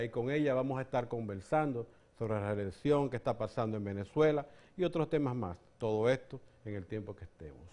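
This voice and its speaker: A man hosting an interview show, greeting viewers and asking direct questions. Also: mid-range voice. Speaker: host. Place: television studio set.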